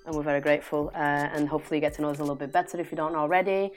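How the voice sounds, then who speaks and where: A woman's voice: low voice, fairly even intonation, rapid-fire. A woman co-hosts a podcast in a casual, chatty style. Music runs behind her voice. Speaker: podcast co-host.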